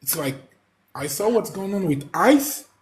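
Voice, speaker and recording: A man's voice: high voice, lively intonation. Man searching for words. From France. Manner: halting, many fillers and restarts, searching for words. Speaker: caller on a panel. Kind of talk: casual chat.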